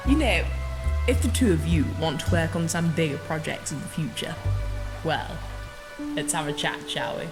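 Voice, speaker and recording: A woman's voice: low voice, expressive, moderate pace. A woman playing a composed, approving boss in a fan audio drama. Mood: approving, measured. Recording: long reverb, music and rain behind.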